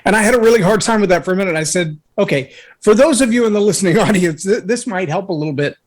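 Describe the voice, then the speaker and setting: A man guesting on a talk show, telling a personal story in an earnest, anecdotal way, with a voice that can boom when he raises it. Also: fast. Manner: earnest, anecdotal, acts out others' words. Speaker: guest speaker.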